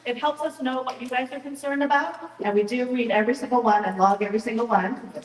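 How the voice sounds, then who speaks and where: A woman moderating a town meeting, speaking loudly and directly with a light joking touch. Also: on the high side, quick pace. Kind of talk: event moderation. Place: large hall at a town meeting. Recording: echoey hall, voice from far off.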